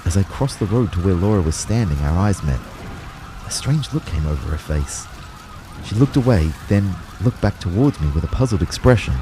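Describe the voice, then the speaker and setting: A man's voice: sits low, expressive, moderate pace, noisy background. A man acting a dazed young man who narrates a disaster in the first person, as dramatic scripted storytelling. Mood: tense, reflective.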